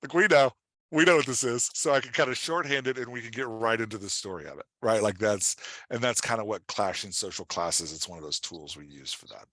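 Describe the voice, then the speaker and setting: A man's voice: fairly low pitch, brisk, bright, forceful tone, no echo. A man working as a writing coach, answering questions in an informal, emphatic, conversational way with casual examples.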